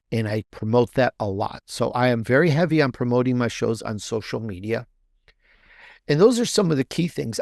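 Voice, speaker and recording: A man's voice: mid-range voice, darkish tone, no room sound, studio quality. Chatty, rambling man in casual conversation. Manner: chatty, rambling, repeats and restarts phrases. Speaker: podcast guest, author and podcaster. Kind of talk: casual, conversational interview answer.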